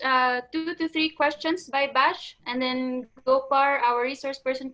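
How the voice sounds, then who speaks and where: A woman's voice: high-pitched, fairly bright tone. A woman helping organize an online webinar, giving informal practical announcements to the participants. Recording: dull, lo-fi online call.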